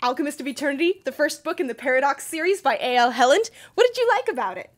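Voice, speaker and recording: Animated young woman hosting, high voice. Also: quick pace. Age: young adult. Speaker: host interviewing colleagues. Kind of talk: light scripted promotional interview. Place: rooms of a house and a barn. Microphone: boom mic held near.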